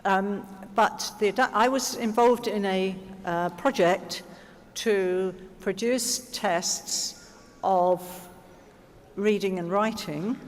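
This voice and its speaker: A conference speaker with a mid-pitched voice and a slow, deliberate pace. The delivery is reflective and explanatory, punctuated by rhetorical questions, and carried over a microphone into a large, echoing hall. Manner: reflective, uses rhetorical questions and check-ins. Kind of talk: explanatory question-and-answer talk. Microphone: stage microphone.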